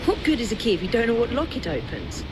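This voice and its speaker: A confident young British woman assassin in a game. She is brisk and wry, fairly high in pitch, with music behind her. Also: wildly swooping pitch. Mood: brisk, keen. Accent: British English. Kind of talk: video game dialogue acting.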